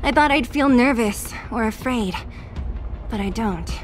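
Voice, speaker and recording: High female voice, slow delivery, actress as composed young game heroine, dramatic, music underneath. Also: natural voice. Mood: quiet, reflective. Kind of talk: dramatic video game voice acting. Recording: music behind the voice.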